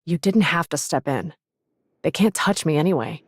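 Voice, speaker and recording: A principled young woman officer from an anime game, low in pitch for a woman. She speaks with composed, firm frankness and quiet pride. The sound is studio-dry. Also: moderate pace, husky, no echo. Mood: aloof. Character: proud, principled young female fleet officer. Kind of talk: English anime game voice acting.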